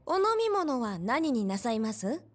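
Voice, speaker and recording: A woman playing a gentle, earnest young hostess in a Japanese game cutscene, speaking politely and softly. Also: fairly high pitch, very animated, a little slow. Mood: welcoming, polite.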